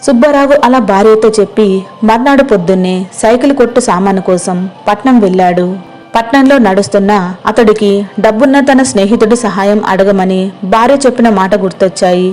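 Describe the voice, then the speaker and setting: A woman's voice: medium pitch, brisk, a little mellow, soft background music. Woman narrating a moral story.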